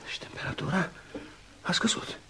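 A man's voice: pushed and bright, lightly acted. An actor playing a passionate, devoted male doctor in an emotional, dramatic Romanian radio play. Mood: overwhelmed relief. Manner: emotional, dramatic, swinging from murmurs to cries. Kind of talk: Romanian radio theatre acting.

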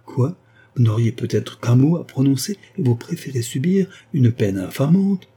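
A man's voice: medium pitch, animated, moderate pace, mellow, breathy edge, pitched above the performer's own voice. A man reading aloud, voicing a devoted young male lawyer who speaks in an earnest, pleading way. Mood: bewildered.

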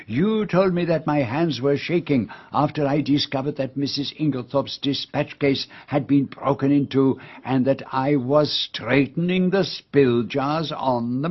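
An astute Belgian detective in a radio drama. His French-accented voice is husky, with formal, precise phrasing that savours each explanation in a fussy, teasing way. The recording is a little dull and lo-fi. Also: on the high side, no echo. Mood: amused, teasing.

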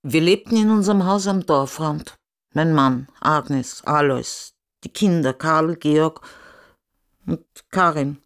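A man in a radio play voicing a melancholy family member who recounts the past in a plain, subdued, deliberate way. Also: fairly high pitch, fairly bright tone, clear, resonant tone. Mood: wistful. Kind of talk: scripted radio play narration.

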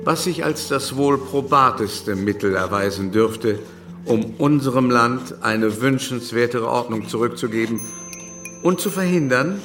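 Man acting anxious king, formal, hesitant, weary, mid-pitched, radio drama, light music underneath. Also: close to an everyday voice.

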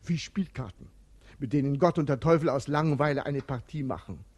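An actor playing an idle, witty servant-companion, ironic and playful, in a 1950s radio play. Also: male voice, mid-range voice, a little fast, a little mellow, husky, lightly acted. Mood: mocking. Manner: playful, ironic, swinging delivery.

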